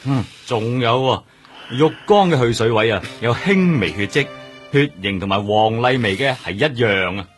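A man's voice: mid-range voice, animated, moderate pace, natural voice. A man with a Hong Kong Cantonese accent acting a chatty, teasing, caring police detective in a radio drama. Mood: keen, explaining. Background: background music.

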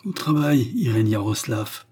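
A man's voice: mid-range voice, moderate pace, mellow, husky, close to an everyday voice. A man voicing a blind, brutal commissar in a story, vengeful, domineering and exclamatory, with sneers and rage. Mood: mocking.

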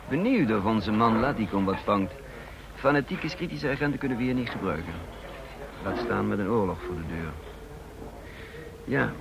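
An actor playing a calculating double agent who poses as a counterintelligence chief, measured and probing in a radio play. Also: male voice, on the low side. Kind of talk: Dutch radio play acting and first-person narration. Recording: noisy old radio play recording.

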